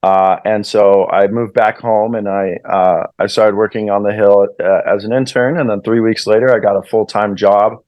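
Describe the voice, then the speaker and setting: A young American man talks in a lowish, casual voice full of "uh" and "um". He often restarts his sentences as he introduces himself. The sound is close and dry. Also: fairly even intonation, moderate pace, few pauses, no echo, dull audio. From United States. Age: young adult. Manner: casual, filler-heavy, hesitant. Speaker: guest, club president. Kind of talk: interview self-introduction.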